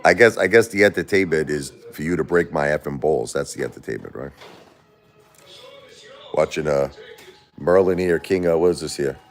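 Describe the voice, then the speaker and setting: Deep-voiced New man on a livestream. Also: moderate pace, pauses often, faint music behind. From Brooklyn, New York. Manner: blunt, repeats himself, spars with critics. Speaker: livestream host. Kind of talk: casual livestream chat answering viewers. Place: home.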